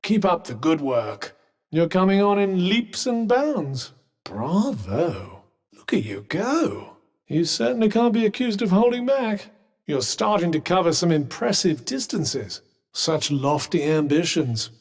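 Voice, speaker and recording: A man's voice: high voice, big pitch swings, a little slow, in a large hall, heavily acted. A man voicing a sardonic male talking magic bracelet in a video game, with dry teasing and arch, formal phrasing.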